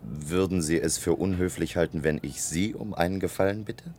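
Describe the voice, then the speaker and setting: Very deep-voiced, reserved futuristic narrator. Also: male voice, moderate pace, darkish tone, a little hiss or hum. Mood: polite, curious. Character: curious, reserved husband from a future society, narrating. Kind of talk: German science-fiction radio drama narration and dialogue.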